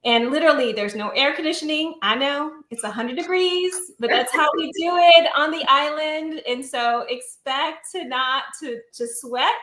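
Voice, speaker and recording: Female guest, middle-aged, high-pitched and bright, casual chat, roomy echo. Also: normal speed, hardly any pauses. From New York, with Puerto Rican roots. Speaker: guest, sales director. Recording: roomy, echoing sound.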